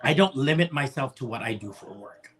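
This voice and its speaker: A man's voice: medium pitch, animated, fairly quick, fairly bright tone, slightly rough. A man in his forties from the Philippines, an opera singer chatting as a guest, rambling with lots of restarts and fillers. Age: 40s. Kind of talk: casual chat.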